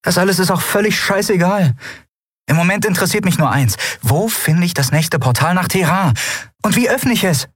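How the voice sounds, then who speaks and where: A man plays an excitable young woman captain for an audiobook. The voice is pitched well up and swings widely, with blunt, impatient, emphatic delivery and a slightly husky edge. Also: normal speed, dry recording, studio quality. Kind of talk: audiobook character voice.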